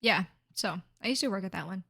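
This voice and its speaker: A young woman with a middle-pitched voice, talking in a relaxed, chatty podcast conversation. She is blunt and easygoing as she shares stories. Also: quick pace, vocal fry, slightly rough. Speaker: podcast co-host. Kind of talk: casual chat.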